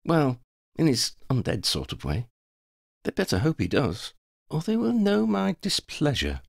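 A man's voice: fairly high pitch, wildly swooping pitch, measured pace, vocal fry, dry recording, studio quality, pitched above the performer's own voice, exaggerated voice. A man voicing a brash, tough, playful young woman werewolf hunter in a flippant, cocky way for an audiobook. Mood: flippant, boastful. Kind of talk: audiobook character voice.

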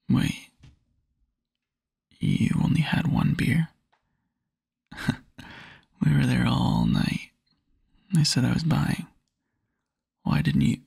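A man playing a tender, wistful young boyfriend in an intimate ASMR roleplay, murmuring softly and haltingly in a husky near-whisper. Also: mid-range voice, no echo, studio quality, full character voice. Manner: soft, halting, intimate murmur with small chuckles. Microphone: close microphone, dry.

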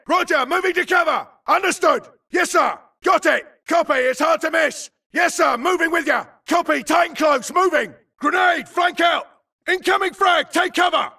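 Frantic soldier yelling in battle. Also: male voice, very high-pitched, creaky, far from the mic, exaggerated voice. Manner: urgent, clipped battlefield yelling. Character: frantic, aggressive male grunt soldier in battle. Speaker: game voice actor. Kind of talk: shouted combat barks for a shooter game.

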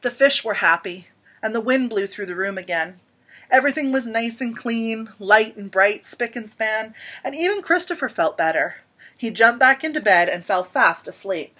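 A woman in her thirties reads a picture book aloud at home. Her voice sits a little high, and she tells it expressively, with dramatic emphasis and pauses. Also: expressive, sharp tone. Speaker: storybook reader. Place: small indoor room at home.

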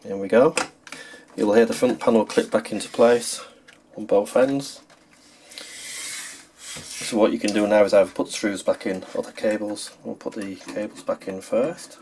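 A man's voice: lowish voice, fairly even intonation, moderate pace, long pauses, a little mellow, slight background noise. A man narrating a hands-on how-to as a tutorial host, explaining plainly step by step.

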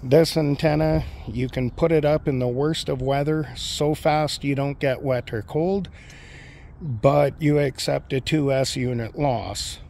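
A man's voice: medium pitch, steady tone, measured pace, darkish tone. A man giving an informal, matter-of-fact walkthrough of his gear, explaining each piece as a hobbyist. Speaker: amateur radio hobbyist presenting gear. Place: outdoors on a lawn. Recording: outdoor recording with clear background noise.

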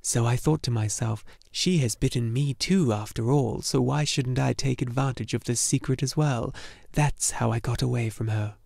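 A man's voice: mid-range voice, normal speed, vocal fry, a little mellow, dry recording. Man playing a cheerful luck dragon, buoyant, hopeful, dry close sound, storybook.

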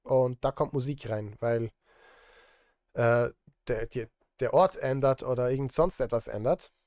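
A man talks at a medium pitch, recorded close and very dry. He chats casually to his stream as he works, dropping self-deprecating, joking asides. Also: measured pace, darkish tone, dry recording. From Switzerland. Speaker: streamer and film composer. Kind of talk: casual live-stream chat while composing. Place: indoor streaming setup.

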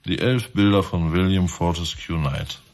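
A low male voice as a police inspector in a radio crime play. He is insistent and sardonic, pressing his suspects with pointed questions. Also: measured pace, a little mellow. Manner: probing, sarcastic, insistent. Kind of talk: radio crime drama acting.

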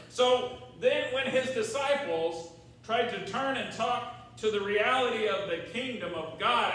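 Older man, American preacher, high voice, conversational sermon delivery, distant mic, strong church echo. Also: a bit bright. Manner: conversational, rhetorical questions with check-ins to congregation. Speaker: pastor. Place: large church sanctuary, at pulpit. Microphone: far camera in the room. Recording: very echoey church, distant mic, faint noise.

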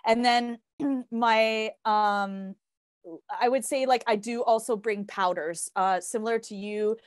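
A woman's voice: a little high, steady tone, slow, smooth, dry recording, clean studio sound. A woman co-hosting a podcast as a health coach, speaking in casual conversation.

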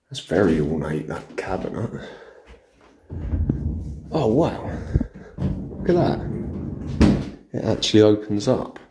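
Man, very low voice, excited walkthrough vlog, empty house, camera mic. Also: slight background noise. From England. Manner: excited, marvelling asides to viewers. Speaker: urban explorer host.

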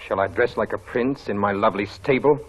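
A man's voice: on the low side, fairly quick, a bit bright, breathy edge. An actor playing a proud, passionate young stable boy in love, in 1940s radio drama style. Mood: brooding. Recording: dull old radio broadcast recording.

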